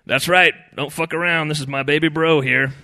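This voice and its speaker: Protective brother in a fishing story, acted by a male narrator: easygoing, loud, slightly raised pitch. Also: big pitch swings, slow pace, no room sound, full character voice. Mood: proud, backing.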